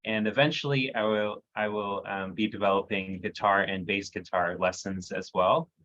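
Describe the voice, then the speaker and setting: A man introducing himself on a video call in a relaxed way, with many pauses and fillers. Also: lowish voice, no echo, lo-fi sound. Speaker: workshop participant.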